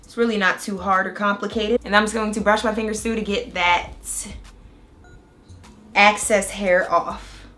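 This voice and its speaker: A young woman vlogging a hair tutorial in a chatty, excited way, walking through each step, her voice a little raspy from a cold. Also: medium pitch, moderate pace. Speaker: beauty vlogger. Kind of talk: casual hair tutorial and review.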